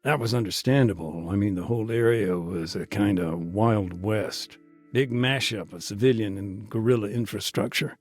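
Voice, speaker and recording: An actor playing a thoughtful aid worker in a war zone, talking on the phone from a car in a relaxed, hesitant way. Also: male voice, lowish voice, normal speed, soft background music, natural voice. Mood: calm. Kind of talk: video game cutscene dialogue. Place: moving vehicle. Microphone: phone call.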